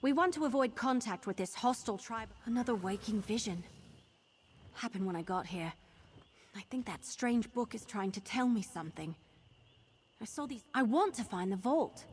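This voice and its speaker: A woman plays an eager young wood elf adventurer in a fantasy video game. Her voice is fairly high and very animated, earnest and conversational, over faint ambient sound. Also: moderate pace, slight background noise, natural voice. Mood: earnest, puzzled. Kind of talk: video game character acting.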